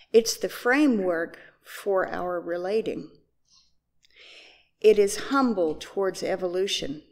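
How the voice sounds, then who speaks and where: A woman worship associate reading liturgy aloud in a measured, solemn way. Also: medium pitch.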